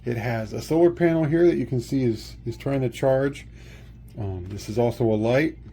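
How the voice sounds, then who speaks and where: A man's voice: medium pitch, moderate pace, mellow. A man giving a casual product demonstration, explaining it plainly step by step. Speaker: product reviewer. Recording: faint background noise, room ambience.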